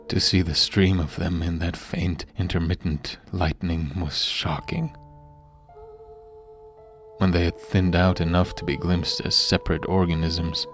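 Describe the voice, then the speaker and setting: A man's voice: low voice, vocal fry. A man reading a horror story as a dramatic narrator, grave and theatrical, in the manner of an audiobook. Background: background music.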